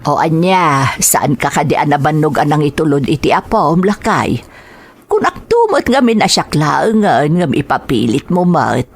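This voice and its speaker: A woman's voice: sits low, sing-song, moderate pace, breathy edge, full character voice. A woman with a Filipino accent playing a caring, worried adult daughter in an audio drama.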